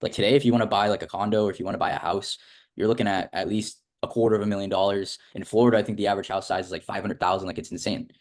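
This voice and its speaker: A man's voice: lowish voice, steady tone, racing. A young man hosting a casual interview, talking in a rambling, informal way full of filler words. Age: young adult. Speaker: host interviewing a student of a business academy.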